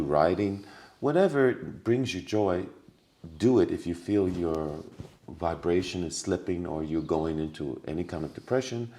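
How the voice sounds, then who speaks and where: Very deep-voiced man giving earnest spiritual advice. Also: dark tone. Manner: emphatic, repeating key words and phrases. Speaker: podcast guest.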